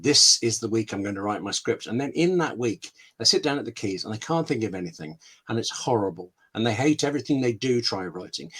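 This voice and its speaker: A man's voice: medium pitch, fairly bright tone, husky. Candid man talking as a webinar guest. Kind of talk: conversational interview answers. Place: online webinar.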